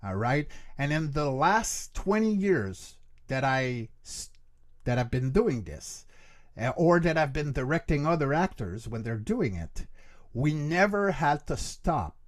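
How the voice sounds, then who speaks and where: A man's voice: mid-range voice, big pitch swings, measured pace. Middle-aged man explaining his craft emphatically. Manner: earnest, emphatic, checks in with "right?". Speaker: interview guest, creature voice actor and vocal coach. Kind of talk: explanatory interview talk.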